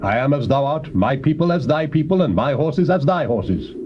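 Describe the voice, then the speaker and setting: An actor voices a resolute ally king pledging support. His delivery is animated in pitch, and the audio is dull and muffled, with clear tape hiss. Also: moderate pace, close to an everyday voice. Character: loyal, resolute male king of Judah.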